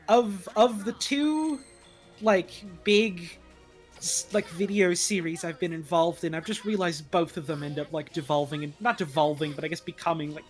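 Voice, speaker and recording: Group-stream guest: dry, wry voice actor, middle register, conversational, light music in the background. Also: medium pitch. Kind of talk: casual group chat on a live stream.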